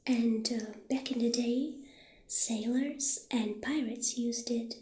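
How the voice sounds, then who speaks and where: A friendly witch shopkeeper acted out by a woman in an ASMR roleplay, speaking very slowly in a highish, soft, breathy half-whisper. The delivery is gentle and hesitant, and the room rings a little around the voice. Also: steady tone, reverberant. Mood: softly admiring. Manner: gentle, unhurried, hesitant pauses. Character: friendly witch shopkeeper showing off potion ingredients.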